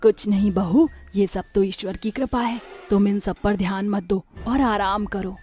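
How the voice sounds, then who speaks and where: A woman's voice: mid-range voice, fairly even intonation, measured pace, warm tone, husky. A woman plays a devoted older mother-in-law who is secretly a ghostly witch in a cartoon tale. The voice is caring, earnest and dramatic, with music behind it. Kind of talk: cartoon story character voice.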